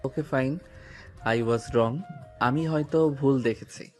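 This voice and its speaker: A man's voice: medium pitch, slow pace, faint music behind, lightly acted. A man playing a confident young police officer in an audio romance story, cool and restrained, sometimes mixing in English phrases. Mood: restrained, conceding.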